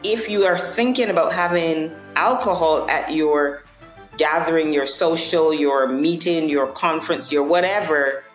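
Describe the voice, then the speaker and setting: A woman from Barbados talks earnestly in a very deep, low voice, often restarting a phrase and checking in with "right?". She is recorded in an echoey room, with music playing clearly behind her. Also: a little slow. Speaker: non-alcoholic bar owner. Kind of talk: conversational interview answers.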